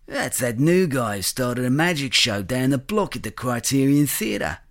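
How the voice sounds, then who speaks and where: A man plays a friendly working-class bloke in a radio play. He chats in loose, colloquial phrases, sceptical and down to earth, with a very animated, up-and-down melody. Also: medium pitch, moderate pace. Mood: chatty, bemused. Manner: colloquial, chatty. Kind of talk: radio drama acting.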